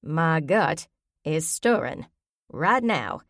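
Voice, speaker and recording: A woman's voice: low-pitched, big pitch swings, very slow, a bit bright, dry recording, clean studio sound, full character voice. A woman voicing a stubborn, feisty old grandmother with an emphatic, folksy way of talking in an audiobook. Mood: insistent. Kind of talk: audiobook character voice.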